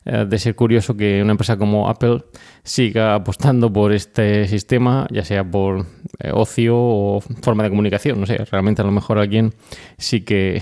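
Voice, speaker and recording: A man with an accent from Spain hosting a podcast, explaining things informally and pausing hesitantly mid-sentence. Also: lowish voice, mellow. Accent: Spanish from Spain. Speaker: podcast host.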